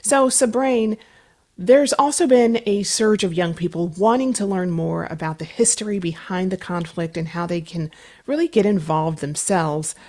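A woman hosting a public radio interview show, introducing a news story and her guest in a measured, formal newsreader delivery. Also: on the low side, warm tone.

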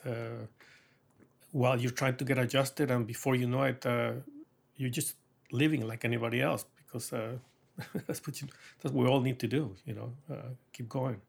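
A middle-aged man from Mexico with a Mexican accent, an artist talking thoughtfully in a documentary interview, pausing often with fillers and soft laughs. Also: mid-range voice, vocal fry.

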